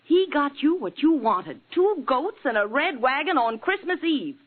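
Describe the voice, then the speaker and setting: A woman's voice: high-pitched, sing-song, normal speed. An actress playing a spirited, sardonic, outspoken working woman in a 1940s radio drama. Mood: fed up, biting. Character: spirited, wry, plain-spoken newspaper office woman. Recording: tinny, hissy old radio broadcast.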